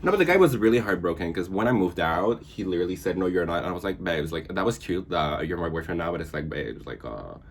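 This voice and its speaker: A man's voice: low voice, animated, fast, creaky, hardly any pauses, breathy edge. A young man who speaks English as a second language, chatting casually and campily about his dating past. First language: not English. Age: young adult. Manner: campy, dramatic retelling. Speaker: vlogger. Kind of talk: casual chat.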